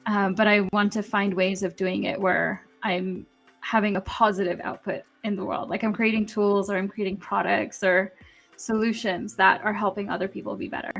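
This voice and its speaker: A young woman from Kenya, a software developer, chatting as an interview guest, upbeat, laughing often. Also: mid-range voice, steady tone, normal speed, creaky, faint music behind. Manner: friendly and upbeat, laughs often, uses fillers. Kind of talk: casual interview.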